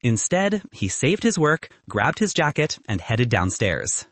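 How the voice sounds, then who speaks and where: Male audiobook narrator, animated pitch range, close dry recording, scripted storytelling. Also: unhurried, no echo.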